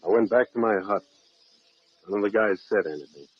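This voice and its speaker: A man's voice: fairly low pitch, a little fast, very noisy, close to an everyday voice. An actor playing a blunt, stubborn American man who narrates and acts in an old-time radio jungle adventure. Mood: reflective narration. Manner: blunt, irritable. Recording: dull lo-fi vintage radio recording.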